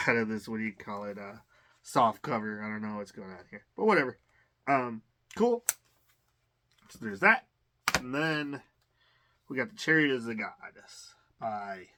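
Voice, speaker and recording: A man's voice: lowish voice, pushed and bright. A man giving a casual, rambling unboxing commentary on a tabletop game, full of fillers and restarts.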